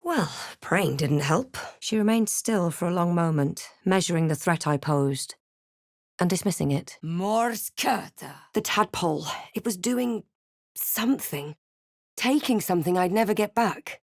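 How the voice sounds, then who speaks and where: A woman playing a wry, brooding adventurer heroine in a fantasy video game, with a measured, dramatic delivery. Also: lowish voice, animated, no echo, studio quality. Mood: wry, matter-of-fact, then commanding incantation. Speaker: video game player-character voice actor. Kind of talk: scripted game narration and barks.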